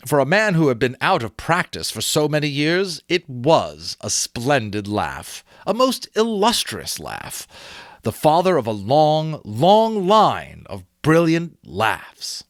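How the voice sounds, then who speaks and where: Expressive man reading slowly, very varied pitch. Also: no room sound, studio quality. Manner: theatrical, expressive. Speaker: audiobook reader and host. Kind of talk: dramatic storybook narration with casual asides.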